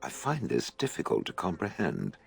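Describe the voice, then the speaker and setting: Very deep, gravelly stern warrior. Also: male voice, soft background music, full character voice. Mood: stern disapproval. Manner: deadpan, formal, deliberate. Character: stern, humourless giant warrior, blunt and disapproving. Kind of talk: video game voice acting.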